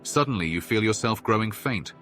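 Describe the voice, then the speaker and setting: A man playing a solemn storyteller, reading scripted fantasy game narration in a measured, formal way. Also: fairly low pitch, animated, a bit bright. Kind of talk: scripted second-person narration. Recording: faint music behind.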